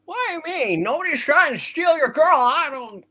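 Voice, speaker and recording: A woman's voice: high voice, very animated, bright, forceful tone, heavily acted. A woman playing a flustered, stubborn young man who stammers, in a hammed-up amateur radio play reading. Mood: hesitant, defensive. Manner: stammering, halting, blustery.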